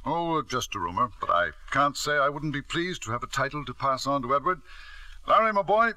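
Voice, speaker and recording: A man's voice: medium pitch, sing-song, fairly quick, sharp tone, dry recording, rough recording. An actor playing a genial but domineering middle-aged tycoon in 1950s radio drama, hearty and self-important in his manner.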